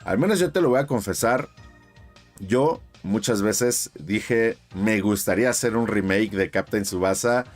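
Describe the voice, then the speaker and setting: A man's voice: on the low side, unhurried, soft background music. A man from Mexico, hosting his channel's interview in a chatty, effusive way with lots of fillers. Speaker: YouTube channel host.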